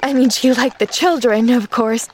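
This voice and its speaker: A woman's voice: a little high, moderate pace, a little hiss or hum, natural voice. A woman voicing an earnest, talkative little orphan girl in a storybook, with breathless, rambling chatter. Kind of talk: storybook character voice.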